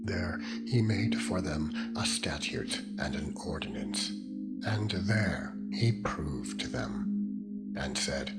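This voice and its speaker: A man giving a solemn, dramatized scripture reading in a measured, declamatory manner that lifts toward proclamation. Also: low voice, lively intonation. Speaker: narrator, Bible reader. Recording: loud meditative music with singing-bowl tones behind. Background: loud music, ringing singing bowl tones.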